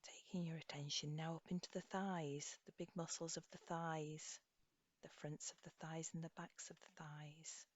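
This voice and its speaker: A woman leading a guided body scan meditation as an instructor, speaking softly and soothingly in an unhurried way, with phrases trailing off breathily. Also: sits low, little pitch movement. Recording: slightly dull, echoey room recording.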